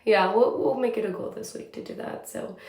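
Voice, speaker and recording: Young woman, congested, slightly raspy, casual vlog chat, lots of fillers, mid pitch, echoey room. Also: brisk, a little mellow. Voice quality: chatty, with many "like" and "um" fillers. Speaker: TV news reporter vlogging her day.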